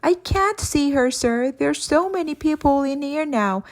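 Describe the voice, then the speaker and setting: An obedient male henchman in a children's storybook , acted out by a woman. He sounds anxious and deferential, with his voice pitched up. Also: lively intonation, unhurried. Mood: flustered.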